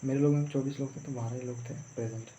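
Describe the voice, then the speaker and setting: A man's voice: medium pitch, fairly even intonation, rapid-fire, dark tone. Young guy just rambling through a story, dull muffled audio with faint noise behind. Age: young adult. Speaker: vlogger recounting own exam day.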